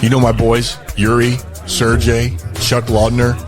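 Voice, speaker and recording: An actor in an audio drama playing a brutal gang boss and enforcer with a curt, commanding manner. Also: male voice, mid-range voice, completely flat delivery, measured pace, music in the background, close to an everyday voice. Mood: smug, in control.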